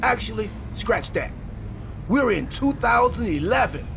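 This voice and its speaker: A man playing an excitable, goofy, scatterbrained pro wrestler in a video game cutscene, earnestly delivering absurd ideas. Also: sits high, unhurried, vocal fry, noisy background, exaggerated voice. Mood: excited.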